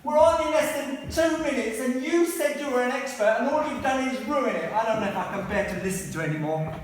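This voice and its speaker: A man's voice: very high-pitched, lively intonation, fairly quick. A man playing an exasperated stage manager who complains about the show, in an amateur comic radio play. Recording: echoey hall, distant mic.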